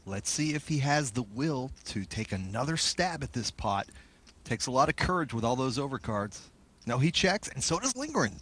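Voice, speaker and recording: A man's voice: mid-range voice, big pitch swings, normal speed, fairly bright tone, slightly rough. A man giving analysis during a televised poker broadcast, explaining each player's hand and odds.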